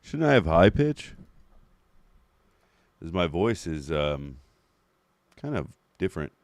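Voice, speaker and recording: A man with a deep voice, thinking aloud with many fillers as he tries out settings during a stream. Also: sing-song, takes it slowly, no echo. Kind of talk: casual talk while testing voice settings.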